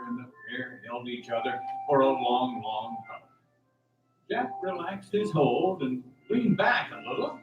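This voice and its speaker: A man's voice: mid-range voice, lo-fi sound. A man narrating a scripted radio drama with a dramatic storytelling delivery. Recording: roomy, distant mic, faint music.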